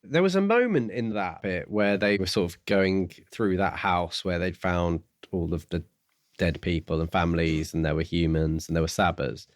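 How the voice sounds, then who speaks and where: A man's voice: low-pitched, normal speed, no echo, studio quality. A man co-hosting a podcast in casual book discussion, tentatively thinking aloud. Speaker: podcast co-host.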